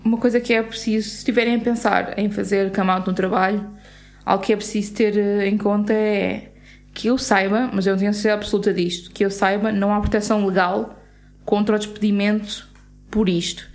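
A woman's voice: medium pitch, flat delivery, a little fast, darkish tone, soft background music. A woman with a European Portuguese accent co-hosting a podcast, speaking earnestly and with indignation, often stopping and restarting mid-sentence. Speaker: podcast co-host. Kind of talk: casual podcast chat.

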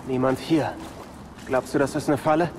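An actor playing an earnest, wary young adventure hero in an audio drama, speaking conversationally. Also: male voice, mid-range voice, fairly even intonation, normal speed, background noise, natural voice.

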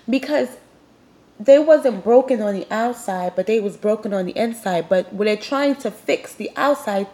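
A woman's voice: a little high, a little mellow. A young woman hosting her own show, talking bluntly and with emphasis straight to her listeners; she sounds nasal and sniffly from a cold. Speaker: podcast host. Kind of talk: candid motivational monologue.

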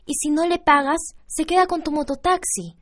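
An actress with a Peruvian accent playing an outspoken, cautious young student niece in a radio drama, blunt and questioning. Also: female voice, high voice, fairly even intonation, normal speed, natural voice. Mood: alarmed, skeptical.

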